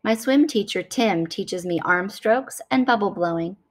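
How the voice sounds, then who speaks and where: A woman reading a picture book aloud to children in an expressive, deliberate storytelling style. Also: medium pitch.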